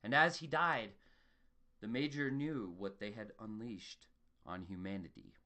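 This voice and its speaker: A man's voice: lowish voice, unhurried, sharp tone. A man voicing the ominous narrator of a monster horror tale, reading in a grave, suspenseful way. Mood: grim suspense. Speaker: voice actor reading a horror story. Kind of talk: dramatic scripted horror narration. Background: music and added sound effects.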